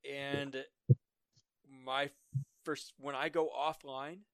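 A man's voice: mid-range voice, level tone, a bit bright, clear, resonant tone, no echo. A man from Massachusetts, a podcast guest explaining things with many restarts and repeated words. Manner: halting, repeats words while explaining, laughs lightly. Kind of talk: casual conversation.

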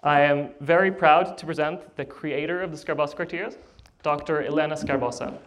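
A man presenting at a meeting, giving quick, low-key introductions. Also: steady tone, a bit bright. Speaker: conference host.

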